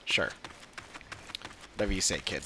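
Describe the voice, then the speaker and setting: A man's voice: low voice, moderate pace, heavy background noise. A man livestreaming a game playthrough, making casual asides. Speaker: game streamer.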